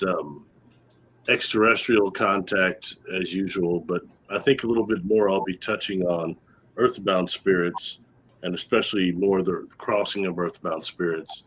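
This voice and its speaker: A man with a very low voice speaks in a friendly, easygoing way as a panel guest on a video call. Faint background noise sits under his words. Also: fairly bright tone, rough recording. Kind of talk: casual panel chat.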